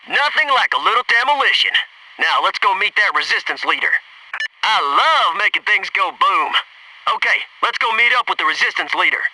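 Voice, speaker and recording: A gung-ho American special forces soldier in a video game. His voice sits high and swings widely as he delivers clipped battlefield talk. He is brash and wry, eager to blow things up. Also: sing-song, moderate pace, pushed and bright, distant mic, a little hiss or hum, heavily acted, low-quality recording. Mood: cocky, wry. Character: brash wisecracking American military commando, eager for demolition. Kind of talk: video game voice acting, tactical battlefield barks.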